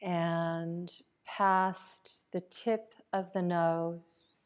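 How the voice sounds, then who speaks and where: A woman leading a guided meditation as a yoga nidra teacher, calm and unhurried, leaving long pauses between phrases. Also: lowish voice, clear, resonant tone.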